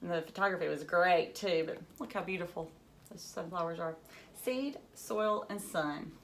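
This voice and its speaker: A woman's voice: fairly low pitch, normal speed, a bit bright, a little hiss or hum. A woman teacher reading a children's book aloud, adding simple, encouraging explanations in short phrases and putting questions to young listeners.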